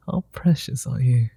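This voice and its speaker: A man voicing a soft gravelly vampire. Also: mid-range voice, no room sound, full character voice. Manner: soft, slow, flirty and teasing. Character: gentle flirtatious male immortal vampire. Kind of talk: ASMR roleplay.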